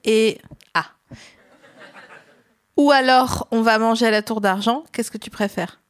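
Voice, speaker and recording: A woman host with a fairly high voice keeps the chat light and playful. She tosses out absurd jokes and teases her guests in a relaxed, conversational way. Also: unhurried, smooth. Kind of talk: casual chat.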